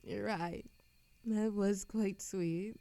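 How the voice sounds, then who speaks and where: A woman's voice: medium pitch, steady tone, drawn-out words, warm tone, no room sound. A woman acting a lovesick, world-weary immortal in a scripted romantic audio roleplay, with a dramatic, yearning delivery. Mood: tender, smitten.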